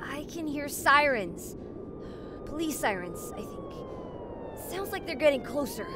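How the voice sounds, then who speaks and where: A woman's voice: very high-pitched, huge pitch swings, slow, noisy background, exaggerated voice. A woman voicing a feisty young superhero kid in a comic dub, cheeky and exclamatory. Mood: eager.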